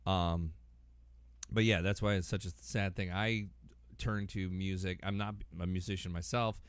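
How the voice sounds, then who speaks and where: A man hosting a podcast, talking casually in a rambling way and getting animated as he acts out imagined quotes. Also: deep voice, a little slow, no room sound. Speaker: podcast host.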